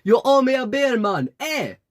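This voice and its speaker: A man voicing a cryptic, pushy psychic in an audiobook, with an exaggerated Jamaican accent and drawn-out, needling delivery. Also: fairly high pitch, expressive, darkish tone, dry recording, studio quality, voice pushed up. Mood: shouting after someone. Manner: drawn-out mystical pronouncements, needling questions. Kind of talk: audiobook character voice.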